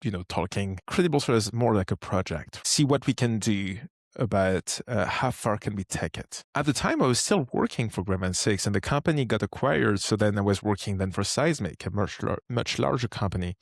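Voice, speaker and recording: A man in his thirties with a French accent, speaking English as a second language, chatting as an interview guest. He talks in a relaxed way, thinking aloud with frequent restarts. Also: lowish voice, a little fast, creaky, dry recording, clean studio sound. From France. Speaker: guest, startup co-founder. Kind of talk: casual interview conversation.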